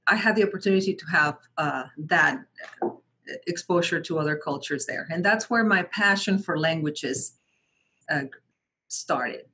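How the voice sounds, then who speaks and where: Husky low-voiced Mexican-born woman. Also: a little slow. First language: Spanish. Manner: frequent restarts and repeated words, animated quoting of others. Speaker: guest, translation company founder. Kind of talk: conversational interview storytelling.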